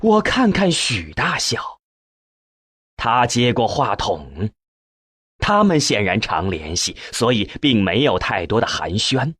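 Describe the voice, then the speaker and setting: A man's voice: mid-range voice, very animated, slow, fairly bright tone, husky, no echo, clean studio sound. A man narrating a science fiction audiobook in the first person.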